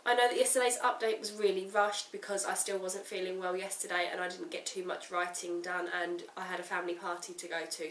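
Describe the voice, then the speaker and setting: A woman with a stuffy, croaky voice from a cold, rambling chattily to the camera. She sits a little back from the mic in a roomy space, and a faint hiss runs under her voice. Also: medium pitch, fairly bright tone, recorded from a distance. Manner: rambling, talks straight to the camera. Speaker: writing vlogger. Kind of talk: casual chatty vlog update.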